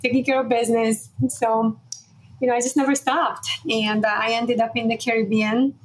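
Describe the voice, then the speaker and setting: Woman in her 40s from hungary, kinda monotone, slightly high, bit of hiss behind. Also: poor audio. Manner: brisk, many "you know" and "um" fillers. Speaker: guest, fashion entrepreneur. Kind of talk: interview storytelling.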